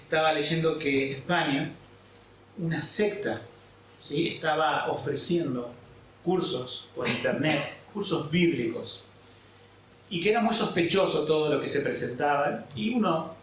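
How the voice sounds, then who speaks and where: A man's voice: fairly high pitch, unhurried. Man, pastor, sermon, explanatory, distant mic, faint room noise. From Argentina. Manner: explanatory preaching with frequent "¿sí?" checks and fillers. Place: church hall. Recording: echoey hall, mic at a distance.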